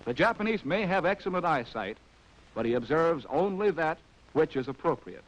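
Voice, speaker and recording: Casting: American male narrator for a 1940s documentary, higher-pitched voice with lively swings, wry and arch, vintage soundtrack sound. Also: moderate pace, dull audio. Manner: wry, arch, theatrical phrasing. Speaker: narrator of a military training film.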